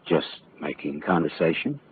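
An actor playing a wisecracking, world-weary private detective in 1940s radio style, clipped and sardonic. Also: male voice, very deep voice, sing-song, moderate pace, slight background noise. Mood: wary. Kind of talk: hard-boiled first-person narration and dialogue, 1940s radio acting. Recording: muffled old radio broadcast, faint music.

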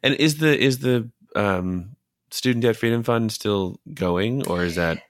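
A man's voice: lowish voice, unhurried, no room sound, studio quality. A man hosting a podcast interview in a casual, conversational style. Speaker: podcast host.

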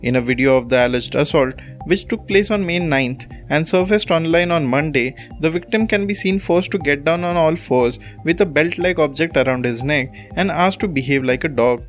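A man's voice: a little high, moderate pace, few pauses. Indian-accented man reading news over music. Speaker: news presenter. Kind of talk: scripted news bulletin reading.

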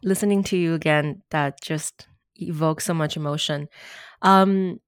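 This is a woman hosting a podcast, with a lowish voice for a woman. She speaks softly and casually, often pausing on fillers as she shapes her questions. The recording is close and dry, with no room sound. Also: fairly even intonation, smooth, dry recording. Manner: soft-spoken, searching for words with frequent fillers. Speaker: podcast host. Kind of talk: casual interview conversation.

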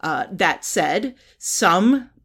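A woman's voice: fairly low pitch, expressive, extremely slow, fairly bright tone, husky. An older woman from the United States hosting her own podcast, talking casually with many fillers, restarts and wry laughs. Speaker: podcast host. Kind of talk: casual solo commentary.

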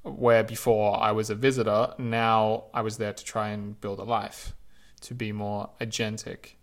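A man reading his own reflective essay aloud in a measured, lyrical, unhurried way. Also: fairly low pitch, flat delivery.

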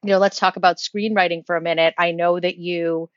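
A woman's voice: lowish voice, little pitch movement, fairly quick, no room sound. A woman hosting an interview, speaking conversationally as she puts questions and shares her own thoughts. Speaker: podcast interviewer. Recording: slightly dull, dry remote audio.

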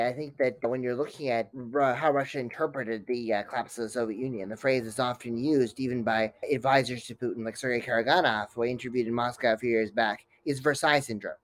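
A man's voice: medium pitch, no room sound. A man speaking as an academic guest in an interview, giving fluent, detailed explanations.

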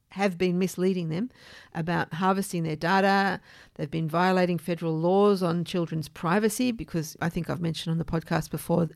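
A woman with a fairly low voice and noticeable vocal fry talks as a podcast host. She explains in a measured, informal way, and the sound is dry with little room to it. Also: darkish tone, dry recording.

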